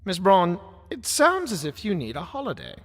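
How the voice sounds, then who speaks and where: Echoing in a long hall, a male actor plays a prim, exacting, bookish agent. His voice is fairly high and animated, with formal, dryly humorous delivery suited to audio drama. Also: normal speed, full character voice. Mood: dry teasing. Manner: formal, precise, dry. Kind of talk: full-cast audio drama acting. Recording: long reverberant hall echo.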